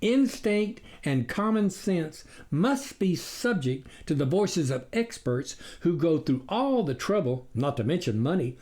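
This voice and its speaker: A sly storybook wolf played by a narrator posing as a reformed soul. The delivery is persuasive and indignant, with a preachy streak. The pitch sits in a middle register and swings widely. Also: very animated, measured pace, few pauses, darkish tone. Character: sly, smooth-talking wolf-like stranger posing as vegan.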